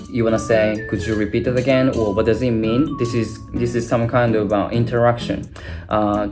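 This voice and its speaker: A young man whose first language is Japanese, giving an unscripted video lesson with many fillers and restarts. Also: mid-range voice. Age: young adult. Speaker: language teacher. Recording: guitar music playing behind.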